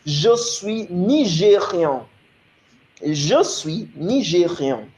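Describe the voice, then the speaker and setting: A man's voice: high voice, very animated, extremely slow, darkish tone, roomy sound, rough recording. A man from Nigeria with a Nigerian accent, teaching a recorded French lesson, explaining step by step and often checking "you understand?".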